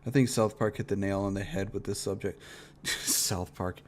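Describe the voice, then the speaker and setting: A man's voice: lowish voice, steady tone, normal speed, slight background noise. Blunt, cursing male reaction streamer. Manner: blunt, profane ranting with frequent swearing. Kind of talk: casual reaction commentary.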